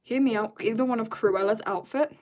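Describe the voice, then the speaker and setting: A teenage girl from South Africa chatting casually to her viewers while she draws, rambling and joking at herself, sometimes dropping to quiet mutters. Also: on the high side, a little fast. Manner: chatty, rambling, self-mocking asides. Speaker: art streamer. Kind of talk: casual chat while drawing.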